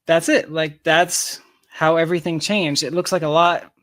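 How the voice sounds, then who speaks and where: Higher-pitched man explaining things emphatically. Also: fairly even intonation, moderate pace, a bit bright, dry recording, clean studio sound. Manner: emphatic, repeats phrases for stress. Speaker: interview guest, tech company founder. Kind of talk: casual explanatory interview talk.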